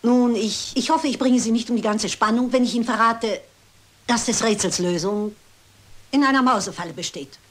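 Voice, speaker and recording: Elderly amateur sleuth played by a woman: fairly high voice, courteous, composed, quietly probing radio-drama delivery. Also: natural voice. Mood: polite, coy. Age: older. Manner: polite, measured, probing. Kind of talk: German detective radio play acting.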